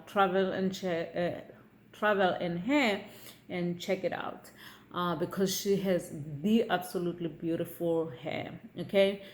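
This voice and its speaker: A middle-aged woman from Africa, giving her views in a casual vlog. She talks in a measured way and often checks in with viewers by asking "okay?". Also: lowish voice, a little hiss or hum. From South Africa. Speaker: vlogger.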